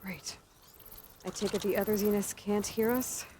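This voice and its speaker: An actress playing a determined, terse young warrior heroine in a video game. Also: female voice, mid-range voice, steady tone, moderate pace, lightly acted. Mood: guarded. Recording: game audio under loud noise.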